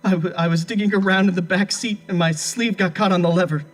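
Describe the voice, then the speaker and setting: An actor playing a distraught young husband under interrogation in an audio horror drama, halting, stammering and pleading. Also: male voice, sits high, steady tone, faint music behind, close to an everyday voice. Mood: haunted, halting.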